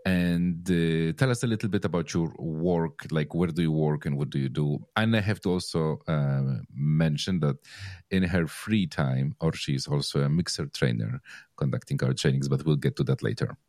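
A man's voice: extremely low pitch, normal speed, darkish tone, no echo, studio quality. A man hosting a podcast interview, chatting casually and rambling with many fillers and restarts. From Poland. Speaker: podcast host.

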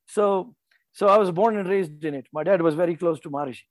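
Indian man, 40s, interview guest; higher voice, dry mic, meandering and self-correcting. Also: fast, no echo, poor audio. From North India. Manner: rambling, self-correcting, explanatory. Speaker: guest, farmer and entrepreneur. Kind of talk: casual interview talk.

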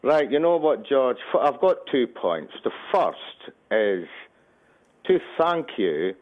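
A man calling in to a radio show, speaking in a slow, unhurried, friendly way. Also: mid-range voice, very animated. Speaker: radio caller. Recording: muffled phone line.

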